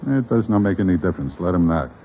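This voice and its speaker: An actor playing a gruffly easygoing New barkeep in a 1940s radio drama. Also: male voice, low voice, animated, quick pace. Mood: grudging, unbothered. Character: Good Time Charlie, gruffly easygoing New York barkeep. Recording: dull, noisy, clicking vintage radio recording.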